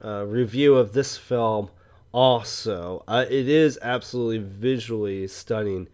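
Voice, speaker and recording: A man co-hosting a film podcast in casual conversation, often halting mid-thought as he talks. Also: on the low side, darkish tone. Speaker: podcast co-host and film reviewer.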